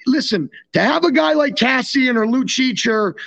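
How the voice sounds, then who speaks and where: A man's voice: very high voice, animated, normal speed, vocal fry, a bit bright, dull audio. A young North American man chatting casually about sports as a podcast co-host, often restarting his sentences. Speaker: podcast co-host and former hockey player.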